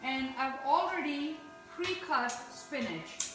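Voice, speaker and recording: High-voiced woman cooking in an echoey kitchen. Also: animated, slow, fairly bright tone, far from the mic, faint music behind. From India. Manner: chatty, explaining each step while stirring. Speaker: cooking show host. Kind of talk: live-streamed cooking demonstration. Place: home kitchen.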